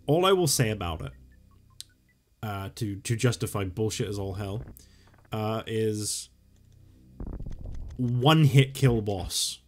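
A man rambling casually and swearing while playing a game, his voice going hoarse. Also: fairly low pitch, a little mellow, a little hiss or hum. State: losing his voice. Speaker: gaming YouTuber. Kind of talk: casual let's-play commentary.

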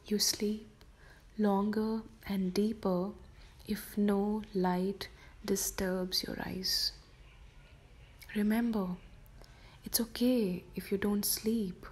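A woman softly whispering a guided sleep meditation for children, slow and gentle. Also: mid-range voice, steady tone. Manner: soft whispered delivery.

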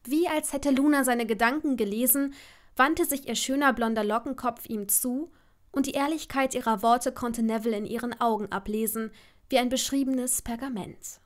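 A woman's voice: fairly high pitch, moderate pace. A woman reading scripted story narration as the narrator of an audio drama.

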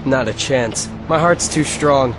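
A man's voice: medium pitch, measured pace, background noise, natural voice. An actor playing a cold, arrogant teenage boy rival in a video game, with a taunting, self-assured manner. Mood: smug.

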